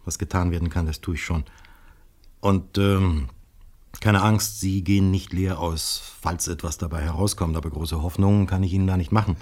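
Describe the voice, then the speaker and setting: An actor playing a calm, fatherly, probing police official in a radio crime play, speaking in a measured, hesitant way. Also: deep voice. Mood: calm, reassuring. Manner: measured, hesitant, deliberate, with thoughtful murmurs. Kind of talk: 1970s radio play dialogue.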